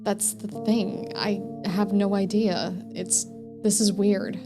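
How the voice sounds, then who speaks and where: A woman's voice: mid-range voice, little pitch movement. Woman streaming a horror game over music. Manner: halting, repeats phrases when startled. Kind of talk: casual game commentary mixed with reading game text aloud. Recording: music behind the voice.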